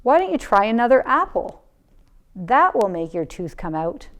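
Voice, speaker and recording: A woman voicing a concerned, helpful father in a storybook, with deliberate exclamations. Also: on the high side, very animated. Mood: concerned, confident.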